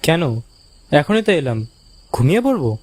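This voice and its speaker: A man's voice: a little high, big pitch swings, moderate pace, dry recording. A man playing a curious, skeptical young visitor in a cartoon ghost story, questioning and doubtful in manner. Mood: puzzled.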